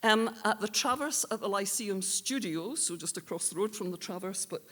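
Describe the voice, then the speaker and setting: Female ceremony presenter, medium pitch, earnest and measured, hall reverb. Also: expressive, a bit bright. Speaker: awards presenter. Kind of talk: live awards presentation speech. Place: live on stage at an awards ceremony.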